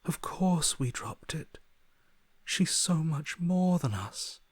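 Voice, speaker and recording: A broken man's inner voice from a dramatic video game. He is servile and worshipful, pleading in a hushed, breathy, defeated way. The sound is dry and close. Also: on the high side, animated, slow, no echo, heavily acted. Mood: anguished devotion.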